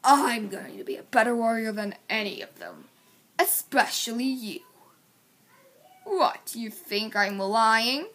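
A woman's voice: on the high side, animated, slow pace, fairly bright tone, exaggerated voice. A woman voicing an arrogant adolescent male apprentice warrior cat, boastful and scornful. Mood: arrogant, defiant.

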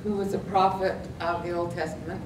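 An older woman reading a humorous poem at an open mic in a library room, with a playful lilt. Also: fairly quick. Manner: lively, playful storytelling lilt. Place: library meeting room, at a podium. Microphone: stand mic, far camera. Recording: echoey room, distant mic, faint rumble.

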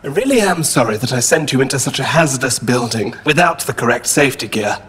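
A man's voice: mid-range voice, animated, quick pace, a bit bright, slightly rough. A man playing a polite, literal-minded robot companion in an audio drama, speaking precisely and formally. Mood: earnest, matter-of-fact.

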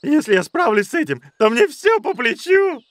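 An actor voicing a big, naive, childlike cartoon bear who is timid but eager, with a guileless, boyish manner. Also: male voice, very high-pitched, big pitch swings, moderate pace. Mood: apologetic. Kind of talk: animated cartoon dubbing.

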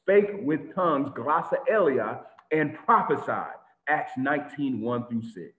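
A man preaching an animated sermon to a congregation over a video call, with lots of repetition and sudden shouts. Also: mid-range voice, measured pace. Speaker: teacher of a congregation. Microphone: video call webcam. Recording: tinny phone-like echoey audio.

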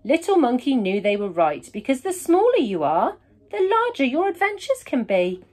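A woman's voice: sits high, huge pitch swings, moderate pace, darkish tone. A woman in her thirties reading a children's picture book aloud expressively, with dramatic emphasis on key words. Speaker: storybook reader.

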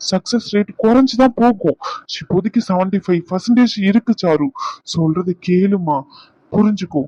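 A man's voice: sits high, moderate pace, warm tone, dry recording. A middle-aged man acting as an uncle who scolds and coaxes his stubborn niece. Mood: coaxing. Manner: scolding, then coaxing. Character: stern but caring middle-aged uncle. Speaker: uncle of the young woman. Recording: dull lo-fi recording.

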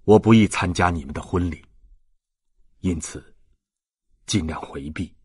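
A man voicing a sorrowful old ex-convict in an audiobook, solemn and halting, with a husky voice. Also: deep voice, a little mellow, no room sound, studio quality, pitched below the performer's own voice. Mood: grave, confessing. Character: sorrowful old male ex-convict, grave, confessing.

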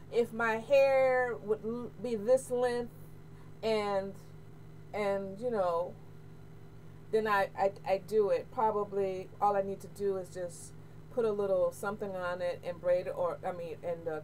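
A middle-aged American woman chatting casually to camera, candid and expressive, repeating phrases for emphasis. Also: mid-range voice. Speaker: hair vlogger. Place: small room at home, indoors. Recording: noisy home webcam audio.

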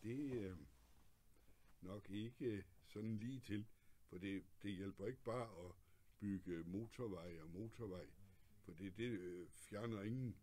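An older man in a casual political conversation, calm and agreeable, with hesitant fillers and many short assents. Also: fairly low pitch. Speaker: candidate and guest. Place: clothing shop, indoors.

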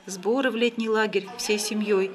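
A woman's voice: medium pitch, fairly even intonation, normal speed. A woman reading scripted news narration as a television reporter.

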